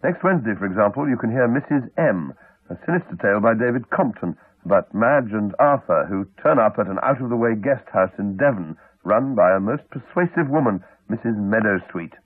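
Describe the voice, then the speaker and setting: A British man making scripted radio continuity announcements in a measured, formal way. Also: fairly low pitch, expressive, slightly rough, dry recording. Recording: dull 1980s radio broadcast, dry, faint music.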